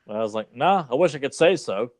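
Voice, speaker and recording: A man's voice: mid-range voice, animated, quick pace, no room sound. Southern guy from virginia chatting on a podcast, lots of you knows, dry sound. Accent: Southern American, Virginia. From rural Virginia. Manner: easygoing, filler-heavy. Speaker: podcast co-host. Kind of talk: casual chat.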